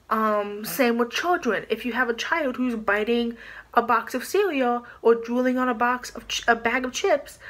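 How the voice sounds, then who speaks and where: A woman's voice: fairly high pitch, fairly bright tone. A young woman vlogging from her small bedroom into a built-in camera mic, indignant and rambling with lots of "like" as she talks. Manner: indignant, rambling, many filler "like"s, gestures a lot. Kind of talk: casual vlog rant to camera.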